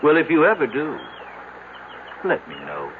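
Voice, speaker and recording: An actor playing a folksy small-town doctor in an old-time radio drama, teasing with drawn-out questions and wry remarks. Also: male voice, on the high side, huge pitch swings. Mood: wry, needling. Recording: tinny, noisy vintage radio recording.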